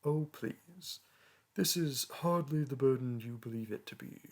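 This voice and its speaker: Guy doing a snooty dragon professor, mid pitched male, super formal old-timey talk, looks down on humans, roleplay audio. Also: measured pace. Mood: dry condescension. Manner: pompous, archaic formal diction, disdainful. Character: haughty young male dragon teacher, condescending toward humans. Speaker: teacher. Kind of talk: roleplay audio monologue to a listener.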